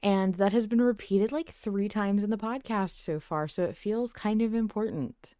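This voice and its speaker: Woman co-host, smooth lowish voice, hesitant and casual, dry close sound. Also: moderate pace, warm tone, dry recording. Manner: hesitant, recalling aloud. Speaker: podcast co-host. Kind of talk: casual chat.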